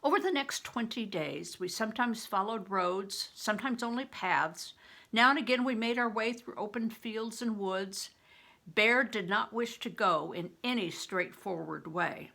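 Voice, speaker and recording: An older woman reading a storybook aloud as a grandmother, in an expressive, deliberate way. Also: medium pitch, creaky. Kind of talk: storybook narration with explanatory asides.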